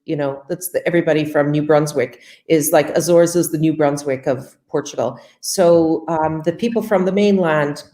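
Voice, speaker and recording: A woman's voice: low voice, moderate pace, few pauses, mellow. Chatty Canadian woman, echoey room. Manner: chatty, many fillers, acts out quoted exchanges. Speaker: guest. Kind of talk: casual interview chat.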